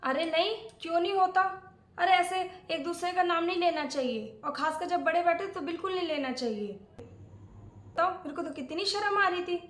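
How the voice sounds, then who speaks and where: Nagging auntie played by a woman, older and old-fashioned, high voice, moralizing comedy skit, echoey room. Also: normal speed, fairly bright tone. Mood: scolding. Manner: dramatic, moralizing, chatty. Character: scolding, old-fashioned older aunt, nagging and self-righteous. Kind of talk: domestic comedy skit acting.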